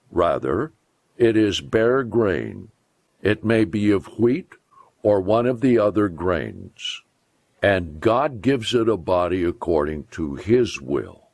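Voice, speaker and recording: Slow, deep-voiced man reading scripture. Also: darkish tone, no room sound. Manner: deliberate, emphatic, declamatory. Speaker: preacher reading scripture aloud.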